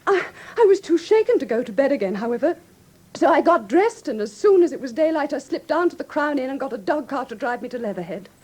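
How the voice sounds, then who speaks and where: A woman's voice: high voice, sing-song, quick pace, a little mellow. An actress playing a frightened, genteel young woman with polite, earnest Received Pronunciation, in a classic radio drama. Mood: fearful, shaken. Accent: English Received Pronunciation.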